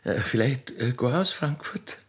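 A man voicing a naive, chatty railway keeper's daughter with an Austrian accent in a radio play, halting and repeating words eagerly. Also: mid-range voice, animated, breathy edge. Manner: halting, repetitive, rustic and eager. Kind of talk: radio play character acting.

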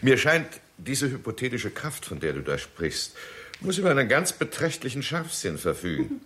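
An actor playing a wry, analytical scientist who probes ideas aloud with irony, in a 1960s German radio play. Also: male voice, medium pitch, sing-song, moderate pace, fairly bright tone. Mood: wry, intrigued. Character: wry, probing male scientist thinking aloud.

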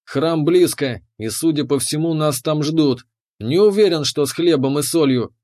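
A man's voice: on the high side, normal speed, darkish tone, natural voice. A man voicing a cold, calculating imperial officer who lives in the hero's head, clinical and matter-of-fact, in an audiobook. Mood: dry. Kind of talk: audiobook character voice.

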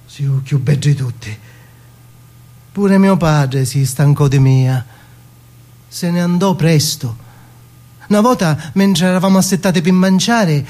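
An actor playing a naive, tender man from the margins recalling his childhood in a Sicilian accent, in a halting, childlike theatrical radio monologue. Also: a little high, a little mellow, close to an everyday voice. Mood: wistful, confiding.

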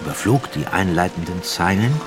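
A man acting as a solemn narrator, reading a literary tale in measured radio drama style. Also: low-pitched, expressive. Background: loud music.

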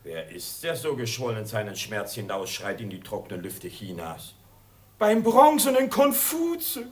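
Excitable, devoted old tutor. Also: male voice, fairly low pitch, a little slow. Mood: grumbling. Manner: theatrical, exclamatory. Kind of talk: theatrical German radio play.

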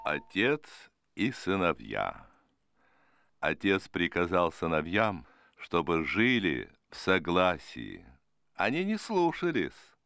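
Male fable narrator: deep, expressive, widely varied melody, dry studio sound. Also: takes it slowly, gravelly, no room sound.

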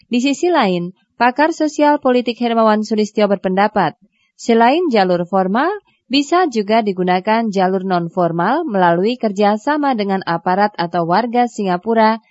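A young woman hosting a business radio show, reading formal introductions and questions in a smooth, measured way. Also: mid-range voice, darkish tone, no echo, dull audio. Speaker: radio host. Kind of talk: scripted radio presenting. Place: radio studio.

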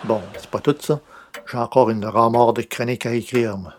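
A man's voice: mid-range voice, steady tone, moderate pace, background noise, natural voice. A man playing a self-important would-be writer and columnist in an old-time radio comedy, theatrical and grumbling. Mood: indignant, grumbling. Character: pompous, wounded would-be great writer and newspaper columnist.

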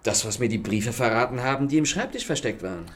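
An actor playing a charming, ironic gentleman thief in a radio play, speaking with smooth, courteous mockery. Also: medium pitch, animated, quick pace. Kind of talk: radio drama acting. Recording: studio radio play with faint music.

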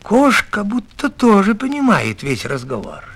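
Male storyteller for fairy tales: high voice, slow pace, expressive narration. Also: breathy edge.

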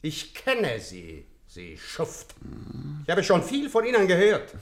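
A man's voice: high-pitched, huge pitch swings, slow pace, a little hiss or hum, heavily acted. A man acting a menacing, hot-tempered doctor in a radio play, with a threatening, emphatic delivery. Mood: scornful rage.

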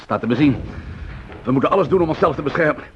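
Casting: man playing authoritative lieutenant, rapid delivery, higher voice, muffled radio play recording. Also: animated, close to an everyday voice. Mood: brisk, authoritative. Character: brisk French army lieutenant in command. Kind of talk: radio drama acting. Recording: dull, noisy old radio play.

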